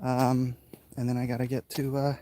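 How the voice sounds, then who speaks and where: A man's voice: mid-range voice, completely flat delivery, normal speed, dark tone. A middle-aged American man rambling casually to viewers, a little out of breath as he walks outdoors, offhand and self-deprecating. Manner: offhand, self-deprecating, talking while exerting himself. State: winded from walking uphill. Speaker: vlogger, artist. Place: outdoors, walking hilly residential streets. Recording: noisy outdoor walking recording with clicks.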